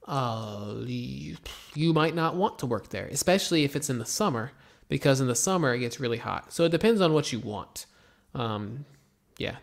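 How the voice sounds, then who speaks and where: Young American teacher from Florida, laid-back Q&A delivery, a little fry in the voice. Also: male voice, mid-range voice. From Florida, USA. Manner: relaxed, rambling, many ums and restarts. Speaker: English teacher answering viewer questions. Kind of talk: casual Q&A, reading comments aloud.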